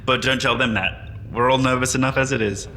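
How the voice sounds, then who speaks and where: A man's voice: mid-range voice, steady tone, a little fast, bright, forceful tone, a little hiss or hum. Actor as a wry man in a big hall. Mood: easygoing, wry. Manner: self-deprecating, reflective, conversational. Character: wry, modest man revived from centuries in cryostasis. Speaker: interviewee at a press appearance. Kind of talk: sci-fi audio drama acting. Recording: long hall reverb, music behind.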